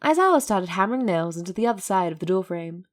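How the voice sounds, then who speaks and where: A woman's voice: fairly low pitch, very animated, quick pace. A woman narrating a piece of fiction from a script, as a storyteller. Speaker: narrator, fan fiction reader.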